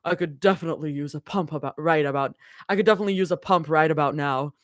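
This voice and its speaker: A woman voicing a cocky, gym-loving young man in a gruff, lowered voice while reading a story aloud. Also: quick pace, no echo, clean studio sound, lightly acted. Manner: gruff low put-on voice.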